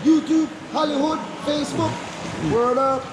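A playful man working as a cave tour guide, teasing guests in repetitive, joking patter. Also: steady tone. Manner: playful, teasing, repeats himself, often addresses people as Mama. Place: inside a dark river cave on the water. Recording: noisy echoing cave, rushing water rumble.

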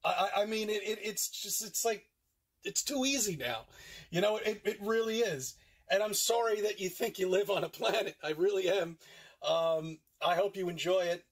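Older man, husky and high-pitched, rambles sarcastically from a car seat. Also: moderate pace. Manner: meandering, repeats himself, sarcastic. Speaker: flat earth video maker. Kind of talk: rambling monologue. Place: inside a parked car.

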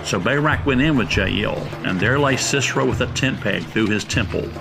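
A man narrating a scripted Bible story drama in a measured, solemn way. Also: mid-range voice, gravelly, music in the background.

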